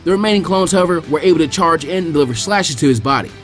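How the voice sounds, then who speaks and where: A man's voice: on the high side, soft background music. A man reading a fan story aloud as a storyteller. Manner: fast, lively storytelling. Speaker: fanfiction reader.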